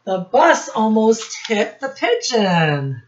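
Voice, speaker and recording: A woman's voice: fairly high pitch, big pitch swings, slow pace, lo-fi sound. An American woman hosting a storytime lesson for young children, asking questions and addressing them directly as their teacher. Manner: instructive, asks questions, addresses listeners directly. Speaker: children's teacher and read-aloud host. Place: home office classroom.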